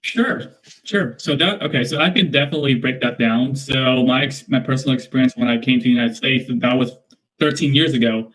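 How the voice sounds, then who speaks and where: Filipino man interviewee, mid-pitched, steady, leans on fillers and repeats words. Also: poor audio. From Philippines. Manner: measured, repetitive, leans on "basically" and restarts. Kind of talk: casual interview answers.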